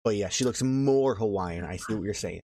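A man's voice: lowish voice, brisk, warm tone, slightly rough, no room sound, clean studio sound. A man co-hosting a casual podcast, teasing the others bluntly and swearing freely. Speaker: podcast co-host.

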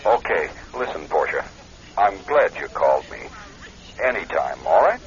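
Blunt, deep-voiced radio drama ex-husband. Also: male voice, big pitch swings. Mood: blunt, concerned. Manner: blunt, brisk, wry advice-giving. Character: Len, blunt, protective ex-husband, a bone doctor. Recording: dull lo-fi radio recording.

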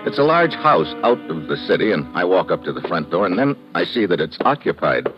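An actor playing a wry, hard-boiled American government agent, world-weary and sardonic, in 1950s radio drama style. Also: male voice, deep voice, big pitch swings, a little fast. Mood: wry narration. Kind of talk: 1950s radio drama acting and first-person narration. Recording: dull old radio recording, music behind.

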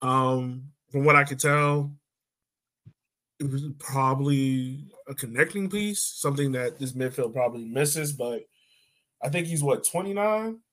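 A man hosting a casual sports podcast, talking informally in a measured, slightly tentative way. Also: mid-range voice, a bit bright. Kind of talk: casual football discussion.

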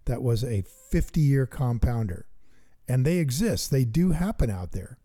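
Dark-voiced man explaining investing. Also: medium pitch, lively intonation, a little slow. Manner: enthusiastic, thinks out loud, works sums aloud. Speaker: investing podcast co-host. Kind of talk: casual teaching chat.

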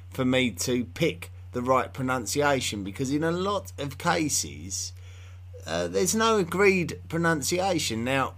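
A man's voice: medium pitch, fairly bright tone. A man from England with an English accent, hosting a history podcast in an unscripted, rambling monologue full of fillers and restarts.

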